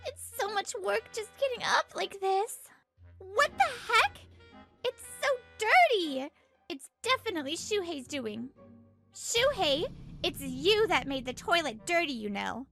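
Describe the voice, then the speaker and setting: Woman acting a high-pitched, fed-up young housewife. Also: full character voice. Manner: expressive, complaining. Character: exasperated young pregnant housewife, narrating her marital troubles. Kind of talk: manga dub voice acting with narration.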